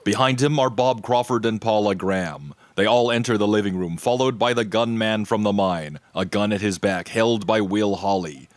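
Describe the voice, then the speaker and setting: A man's voice: on the low side, moderate pace, hardly any pauses, no echo, close to an everyday voice. A man reading scripted narration, describing scenes in the present tense for an audio drama.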